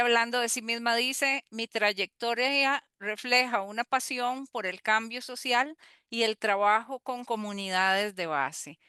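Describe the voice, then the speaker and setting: A woman moderates a panel and introduces the next speaker graciously. Her voice is fairly high, bright and smooth. It sounds close and dry. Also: no echo.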